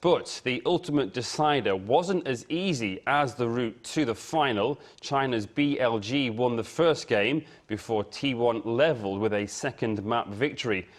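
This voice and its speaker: A man's voice: mid-range voice, unhurried, few pauses. A man working as a sports editor, delivering a broadcast sports report in a studio. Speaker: sports editor presenting sports news. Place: TV news studio.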